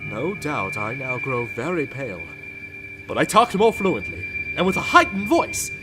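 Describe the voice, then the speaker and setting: A man's voice: mid-range voice, big pitch swings, normal speed. A man giving an intense, theatrical first-person dramatic reading of a classic tale. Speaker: narrator. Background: music.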